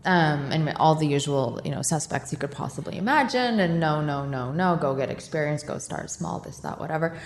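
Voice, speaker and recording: A woman being interviewed on a podcast, telling her career story in an animated, self-mocking way. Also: low voice, brisk, hardly any pauses. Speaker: guest, war correspondent. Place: charity office, roomy. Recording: echoey room with faint noise.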